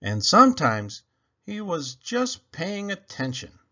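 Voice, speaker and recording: A man narrating a children's storybook in an expressive read-aloud style. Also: high voice, slow, muffled recording. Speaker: storybook reader.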